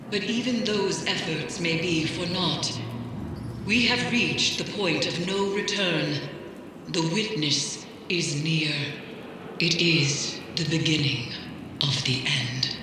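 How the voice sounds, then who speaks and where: A man's voice: on the high side, close to an everyday voice. Man as grave commander in echoing hall. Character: grave commander warning of looming doom. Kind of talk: video game cutscene monologue. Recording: echoey hall reverb, background noise.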